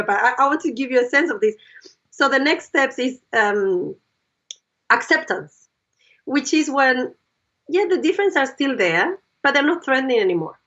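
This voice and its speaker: A woman with a fairly high voice explains her ideas step by step, often checking in with her listener. The recording sounds dull and lo-fi. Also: a bit bright. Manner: explains in steps, checks in with "right?". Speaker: psychologist and educator guest. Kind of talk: explanatory interview talk.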